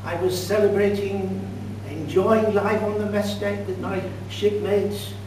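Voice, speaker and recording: A man in his sixties telling a comic tall tale to an audience as a contest speaker, in a deliberate, mock-grand deadpan with dramatic pauses. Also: sits high. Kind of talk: theatrical comic storytelling speech to an audience. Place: indoor function room at a club meeting. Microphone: distant camera microphone. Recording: distant echoey room recording with loud noise and hum.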